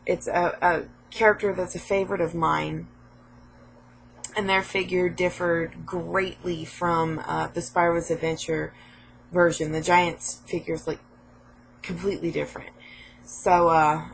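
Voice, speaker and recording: A woman vlogging casually about her game pickups, hoarse and a little sniffly from a cold, chatty and rambling with lots of fillers. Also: lowish voice, darkish tone, low-quality recording.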